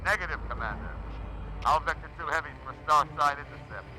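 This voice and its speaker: A man's voice: on the high side, brisk, background noise, lightly acted. A man playing an authoritative military commander in a video game, giving clipped orders. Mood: urgent. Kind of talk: video game cutscene acting.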